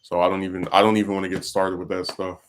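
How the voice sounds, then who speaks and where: A man's voice: sits low, little pitch movement, fast, a little hiss or hum. A man hosting a casual talk stream, venting about politics in a rambling way, full of fillers and restarts. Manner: frustrated, venting. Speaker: livestream host.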